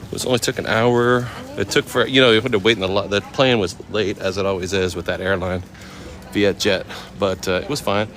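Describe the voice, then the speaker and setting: A man from Oakland in his thirties, casually chatting with viewers while walking through a temple courtyard outdoors, easygoing and quick to laugh. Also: lowish voice, fairly even intonation, fairly bright tone. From Oakland, California. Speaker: vlogger answering live chat. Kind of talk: casual live-stream walk and chat.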